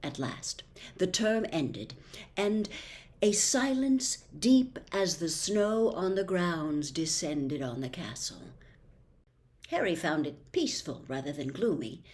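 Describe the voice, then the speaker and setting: A woman reading a storybook aloud as narrator, in an expressive storytelling style. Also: fairly low pitch, takes it slowly. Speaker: audiobook reader.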